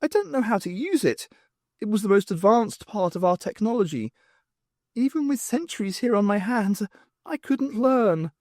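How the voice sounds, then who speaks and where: Audiobook alien, ancient male rebel poet, last survivor, high lilting delivery, rambling and wistful, performed by a man. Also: lively intonation, normal speed, darkish tone, dry recording, clean studio sound. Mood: regretful.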